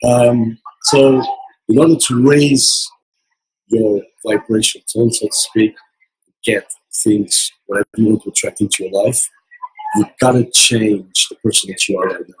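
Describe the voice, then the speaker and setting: A man giving an earnest motivational account as an interview guest, hoarse from losing his voice. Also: fairly low pitch, fairly even intonation, measured pace, poor audio. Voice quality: very husky. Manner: earnest, repetitive motivational talk. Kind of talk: casual interview. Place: casino resort event.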